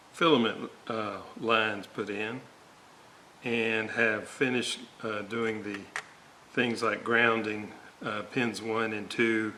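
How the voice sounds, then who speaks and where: A man talks through a hands-on repair at an indoor bench, taking his time. His voice is fairly low yet bright, and he halts as he thinks aloud. Some noise sits behind him. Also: low-quality recording. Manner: halting, thinking aloud while working. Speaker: host of a radio restoration video series. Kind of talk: informal hands-on repair walkthrough. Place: indoor workbench, hands working on components. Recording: noticeable background noise.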